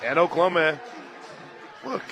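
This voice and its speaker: A man calling a live softball game on radio, play by play, brisk and rising to excited shouts on big plays. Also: fairly high pitch, background noise. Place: stadium radio booth.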